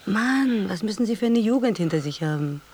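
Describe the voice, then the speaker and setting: An actress plays a cynical young woman, a brazen schemer in a radio drama. Her pitch sits in the middle and she teases, then slips into whispers. Faint ambience lies behind her. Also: lively intonation, brisk, warm tone, slight background noise, lightly acted. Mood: brazen, teasing. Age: young adult. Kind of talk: German radio play acting.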